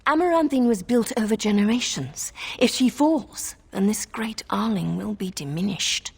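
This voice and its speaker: A woman playing a haughty, calculating noblewoman in a fantasy game, husky and creaky, with a cold, formal manner. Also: mid-range voice, expressive, normal speed, a little mellow. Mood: cool, disdainful. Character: haughty, calculating noblewoman who rules a city. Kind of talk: scripted fantasy game dialogue.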